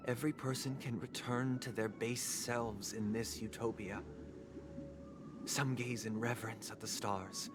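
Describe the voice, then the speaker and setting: A man's voice: mid-range voice, lightly acted. Actor as serene male villain over prominent music. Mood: grand, reverent. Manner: solemn, measured, oratorical. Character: serene, eloquent male villain preaching an eternal dream paradise. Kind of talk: theatrical video game dialogue. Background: prominent game music.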